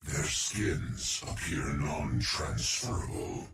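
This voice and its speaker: Deep gravelly alien eyeball creature. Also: male voice, very animated, full character voice. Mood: coldly clinical. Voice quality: deep, slow, gravelly and clinical. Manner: detached, ominous pronouncements. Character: cold alien eyeball creature, male, coolly curious scientist. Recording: roomy game audio with crowd noise behind.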